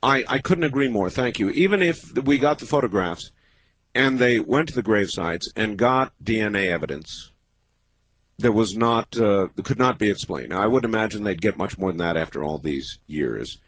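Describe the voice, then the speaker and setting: A man in his fifties hosting a late-night call-in radio show, talking in a measured, musing way with many pauses. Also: lowish voice, animated, fairly bright tone, poor audio. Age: 50s. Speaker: radio talk show host. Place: radio studio. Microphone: close studio microphone.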